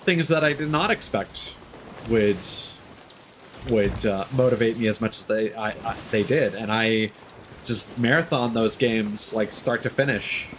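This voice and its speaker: A man's voice: on the low side, unhurried, a little hiss or hum. A man live streaming a game, casually chatting and thinking aloud in a reflective, rambling way. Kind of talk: casual chat with gameplay commentary.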